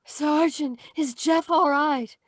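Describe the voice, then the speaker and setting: An earnest young sweetheart from an old radio adventure, acted by a woman. Her high voice is slow and dramatic, with an anxious edge. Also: fairly even intonation, slight background noise.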